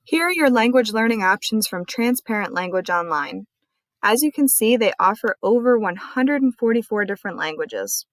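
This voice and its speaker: A woman's voice: mid-range voice, expressive, normal speed, no room sound. Dry-voiced woman librarian explaining. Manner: friendly, clear explainer. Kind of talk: screen-recorded tutorial walkthrough.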